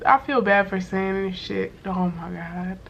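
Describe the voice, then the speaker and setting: Hoarse young woman chatting casually. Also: mid-range voice, measured pace, a bit bright, dull audio, slight background noise. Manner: slangy and loose, lots of "like" and swearing. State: hoarse from being sick. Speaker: vlogger. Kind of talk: casual storytime chat to camera.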